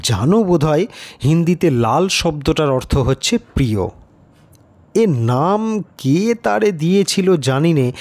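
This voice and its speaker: A man reads a literary story in a slightly high voice, taking his time over each phrase. His pitch moves animatedly, giving the narration an expressive storyteller's shape. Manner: expressive storytelling, acts out characters' lines. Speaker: audiobook narrator.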